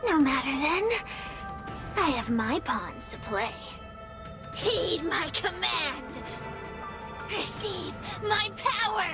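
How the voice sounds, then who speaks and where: Very high, theatrical young fighter. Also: female voice, lively intonation, slow, long pauses, full character voice. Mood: scornful, commanding. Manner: theatrical, declamatory. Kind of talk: anime-style video game acting. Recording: game music over the voice.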